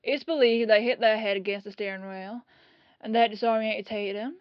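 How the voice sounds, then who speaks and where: A woman playing a dogged police detective in an audio roleplay, questioning in a folksy, falsely casual way with pauses. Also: mid-range voice, steady tone, clean tone, no echo, highs cut off, lightly acted. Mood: businesslike probing. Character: dogged female police detective, casually probing, quietly suspicious.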